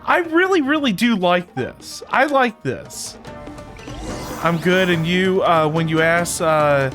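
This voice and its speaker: A man's voice: high-pitched, sing-song, slow, music in the background. A man streaming a video game, chatting casually and informally, often repeating his phrases. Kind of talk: casual livestream commentary and chat.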